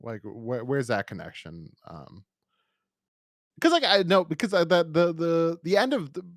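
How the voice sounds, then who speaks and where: Animated man chatting on a podcast. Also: fairly high pitch, measured pace, dry recording, studio quality. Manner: animated, rambling, acts out imagined lines. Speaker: podcast co-host. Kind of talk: casual review discussion.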